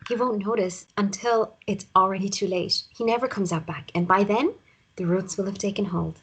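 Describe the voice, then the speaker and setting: A woman's voice: medium pitch, moderate pace, breathy edge, lightly acted, rough recording. A woman reading the part of a mischievous, determined woman in love at a drama table read. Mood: sly, intimate.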